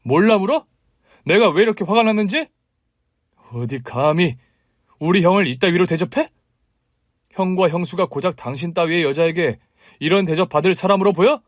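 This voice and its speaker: A man playing a protective younger brother-in-law in a spoken story, forceful and commanding, shouting when angered. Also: mid-range voice, normal speed, no room sound, clean studio sound, close to an everyday voice. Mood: furious.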